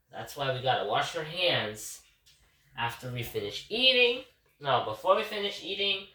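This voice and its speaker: A congested man rambles through a casual home vlog, forever turning to address his viewers. His voice is bright and forward. He sits away from the mic in an echoey kitchen. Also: medium pitch. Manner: rambling, keeps calling out to "guys". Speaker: vlogger giving a daily reflection. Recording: roomy, distant kitchen recording.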